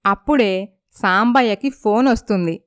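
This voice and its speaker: A woman's voice: mid-range voice, unhurried, no echo, clean studio sound. A woman narrating a scripted moral story.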